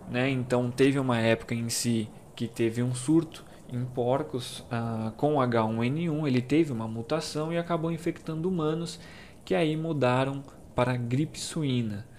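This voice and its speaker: A man's voice: mid-range voice, normal speed, a little mellow, slight background noise. A young Brazilian man hosting his own channel, explaining things casually with lots of fillers and asides. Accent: Brazilian Portuguese. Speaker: YouTube channel host.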